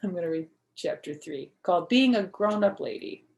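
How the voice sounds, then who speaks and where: A woman's voice: on the low side, animated, moderate pace, vocal fry, a little mellow. An older woman, a grandmother, reading aloud to children in a chatty storytime style and putting simple questions to her listeners.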